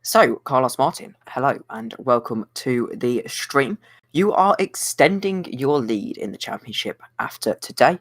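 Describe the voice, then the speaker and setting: A man co-commentating and interviewing in a relaxed, wry way. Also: mid-range voice, unhurried, no echo. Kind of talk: casual commentary chat.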